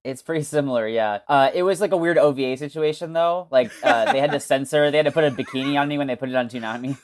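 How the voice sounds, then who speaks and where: A man's voice: medium pitch, fast. A man guesting on a casual podcast, easygoing and laid-back.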